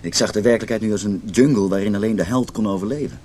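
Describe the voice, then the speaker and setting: A man's voice: on the low side, fast talker, darkish tone, lightly acted. An actor in a Dutch radio drama playing a brooding, wounded, intense man in a failing marriage. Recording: noisy radio play recording.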